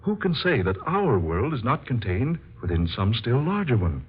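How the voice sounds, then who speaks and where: An actor playing a grave, visionary scientist in an old-time radio drama, speaking formally and with theatrical deliberation. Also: male voice, medium pitch, sing-song, moderate pace, slightly rough. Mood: fervent, resolute. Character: grave, visionary male scientist unveiling his secret discovery. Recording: dull, faintly noisy old radio recording.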